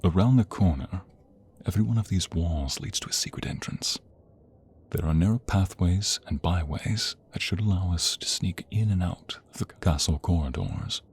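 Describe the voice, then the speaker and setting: A man playing a devoted, regal king in love in a romantic ASMR audio drama, speaking intimately in a breathy voice with courtly phrasing. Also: normal speed, a little hiss or hum. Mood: intimate, playful. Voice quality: deep, breathy, often whispering.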